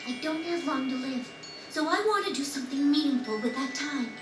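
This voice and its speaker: A woman playing a gentle, earnest young girl, sad but resolute, in a video game dub. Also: high-pitched, a little fast, close to an everyday voice. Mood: wistful, resolute. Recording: roomy game audio, distant, music over it.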